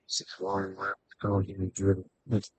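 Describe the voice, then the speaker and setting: Low, husky male gamer commentating casually. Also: completely flat delivery, measured pace. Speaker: gaming streamer. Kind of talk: casual game playthrough commentary and reading aloud.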